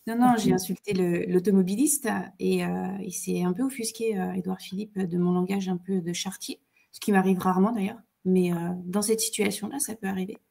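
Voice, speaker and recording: A woman in her forties from eastern France, a political candidate chatting as a guest, pausing often on fillers. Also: mid-range voice, steady tone, creaky, mellow. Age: 40s. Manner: hesitant, many fillers, laughs easily. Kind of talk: casual chat on a live stream.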